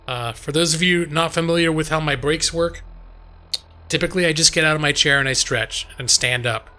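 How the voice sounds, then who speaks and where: A slightly hoarse man streaming a game live, chatting casually and ramblingly to his viewers. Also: fairly high pitch, brisk, pushed and bright. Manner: rambling, conversational, addresses chat directly. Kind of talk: casual chat with viewers while gaming. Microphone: close microphone on a boom arm.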